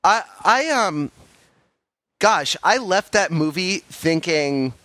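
A man's voice: high-pitched, animated, slow, sharp tone, no room sound. A man hosting a podcast in casual chat, a little sick, rambling with lots of fillers and restarts. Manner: rambling, self-interrupting with fillers. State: sick, under the weather. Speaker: podcast host.

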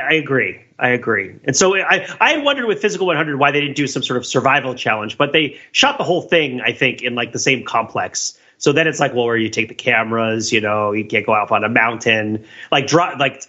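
A man hosting a podcast, chatting casually about TV in an animated, riffing way. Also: mid-range voice, highs cut off. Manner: animated, riffing, acts out hypothetical quotes. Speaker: podcast host. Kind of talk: casual TV commentary chat.

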